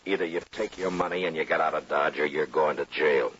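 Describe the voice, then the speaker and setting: A man's voice: a bass voice, fairly even intonation, fairly quick, a bit bright, slight background noise. An actor playing a stern, weary, dry-spoken frontier lawman in an old-time radio western. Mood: stern warning. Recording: muffled old radio recording.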